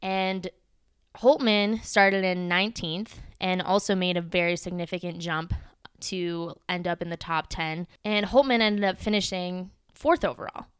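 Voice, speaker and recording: Woman cohost breaking down race results, super clear voice, dry no-echo sound, kinda low. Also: fairly even intonation, unhurried, a bit bright. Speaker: podcast co-host. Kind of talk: casual podcast commentary on ski racing.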